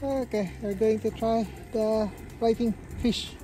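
A woman's voice: a little high, fairly even intonation, measured pace, dark tone, slight background noise. A woman narrating a casual fishing vlog outdoors on a beach, cheerful and laughing often. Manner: cheerful, laughs often, mixes in Tagalog words. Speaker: vlogger filming a fishing trip. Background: background music.

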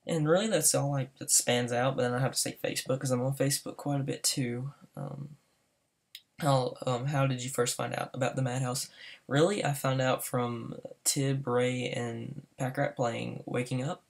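A man's voice: mid-range voice, flat delivery, moderate pace, vocal fry. A fifteen-year-old boy with a Southern US accent, talking nervously over his gameplay with many hesitations. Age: teen. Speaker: gamer applying to a server. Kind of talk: casual voice-over gameplay commentary.

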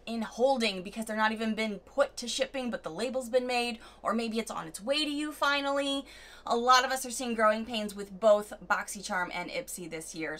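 A woman in her thirties chatting through a makeup review vlog at home, bubbly and gushing, a little raspy from a cold. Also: fairly high pitch. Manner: bubbly, gushing, animated hand gestures. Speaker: beauty vlogger. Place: home room, indoors.

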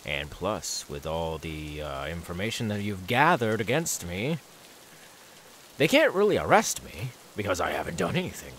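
Unhinged serial killer, wildly swinging pitch. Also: male voice, big pitch swings, moderate pace, slight background noise. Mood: smug. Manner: theatrical, swinging between hushed whispers and manic outbursts. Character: unhinged gloating male serial killer, menacing, mocking, volatile. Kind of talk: ASMR horror audio roleplay acting.

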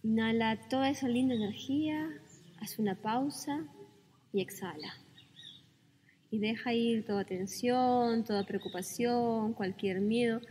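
A woman leading a guided meditation, speaking calmly and soothingly with gentle pauses between phrases. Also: fairly high pitch, a little hiss or hum. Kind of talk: guided meditation, slow spoken instructions. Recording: long reverb with music behind.

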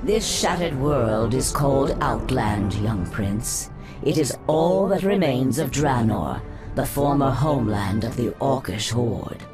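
A woman voicing a devoted, coolly scheming sea-serpent sorceress in a fantasy game, in measured, formal speech. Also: rumbling low, animated, full character voice. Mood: portentous. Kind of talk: scripted fantasy game acting. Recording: music under the voice.

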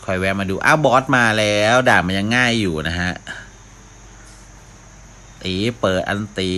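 Deep-voiced man doing casual game commentary. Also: normal speed, pushed and bright. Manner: mouths sound effects, talks to himself while playing. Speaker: gaming video host. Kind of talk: casual live gameplay commentary.

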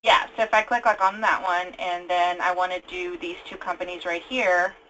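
A woman on a conference call casually explaining a software problem as a trainee, informal and a little hesitant. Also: fairly low pitch, level tone, normal speed, highs cut off, a little hiss or hum. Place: conference call with screen share.

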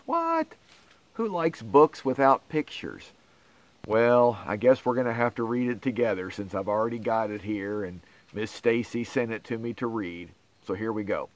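A middle-aged man reading a picture book aloud to children with theatrical, exaggerated dismay and astonishment. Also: lowish voice. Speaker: pastor reading to schoolchildren.

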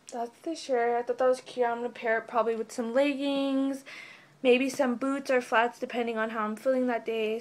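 A young woman in a casual haul vlog, losing her voice and a bit sick, rambling in a bubbly way with lots of fillers, recorded on a webcam in her bedroom. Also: on the high side. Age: young adult. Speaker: vlogger. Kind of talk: casual haul video chat. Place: bedroom, indoors.

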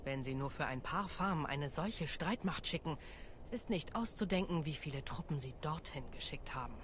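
A woman's voice: sits low, lively intonation, moderate pace, noisy background. A woman playing a stern, resolute Jedi commander in a video game, serious and commanding. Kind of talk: dubbed video game cutscene acting.

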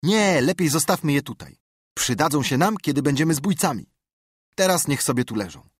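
A man voicing an eager, bossy boy adventurer who talks with raised, breathless enthusiasm and a husky tone. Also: fairly high pitch, lively intonation, moderate pace, dry recording, pitched above the performer's own voice.

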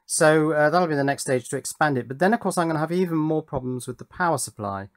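A man's voice: on the high side, fast, no room sound, studio quality. A British man presenting an electronics project in a casual, thinking-aloud way, demonstrating as he goes. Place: indoors at a workbench.

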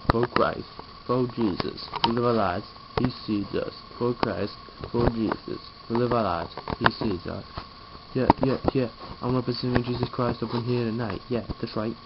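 A man's voice: medium pitch, steady tone, moderate pace. A tired, yawning young man talking drowsily while lying in a small bedroom, then rapping verses into his webcam. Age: young adult. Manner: drowsy, mumbling, trailing off. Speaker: vlogger. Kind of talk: casual vlog with rapped verses. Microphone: webcam held close overhead. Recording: dull lo-fi webcam audio with noise.

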